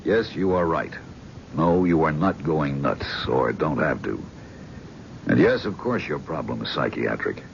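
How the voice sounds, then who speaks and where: Male psychiatrist, probing, measured, very low gravelly voice, muffled vintage radio play. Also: animated, slight background noise, heavily acted. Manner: measured, probing, coolly frank. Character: calm probing male psychiatrist, middle-aged. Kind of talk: 1970s radio drama acting. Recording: dull vintage radio broadcast.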